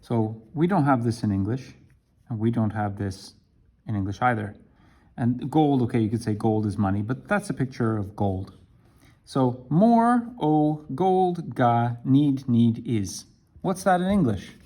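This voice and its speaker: Slow, mellow-voiced English teacher man. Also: medium pitch, expressive. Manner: slow, deliberate teaching with frequent "right?" checks. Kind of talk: explaining a language lesson to camera.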